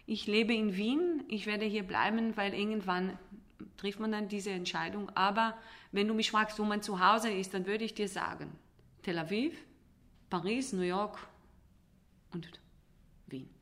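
A woman's voice: mid-range voice, fairly bright tone. A woman from Israel, speaking as an interview guest, reflective and often searching for words in a language that is not her first. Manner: halting, searching for words in non-native German.

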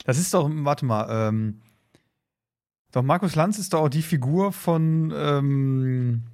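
A man's voice: on the high side, slow, darkish tone. A man chatting casually as a podcast co-host. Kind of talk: casual chat.

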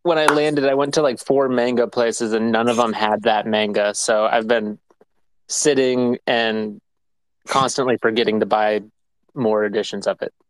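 A man's voice: on the low side, fairly even intonation, moderate pace, clear, resonant tone, low-quality recording. A man from the United States hosting a casual podcast interview, asking easygoing, curious questions. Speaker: podcast host.

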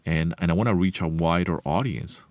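A man's voice: low voice, fairly quick, darkish tone, no room sound. A man whose first language is Spanish, hosting a solo motivational podcast in an earnest, conversational way with frequent restarts. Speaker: podcast host.

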